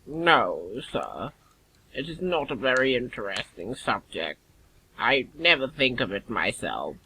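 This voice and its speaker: Man playing sharp-toned, deadpan English manservant. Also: medium pitch, slight background noise, full character voice, poor audio. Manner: formal, measured, deadpan. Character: deferential, deadpan English manservant, dryly formal. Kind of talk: audio play acting of Victorian comedy of manners.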